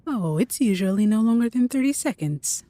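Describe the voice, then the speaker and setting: An actress playing a knowledgeable, scientific-minded guide with a husky voice, explaining things in an audio drama. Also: female voice, a little high, animated, normal speed, mellow, dry recording, natural voice. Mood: matter-of-fact. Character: knowledgeable female tech guide with a scientific bent. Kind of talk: scripted audio drama acting.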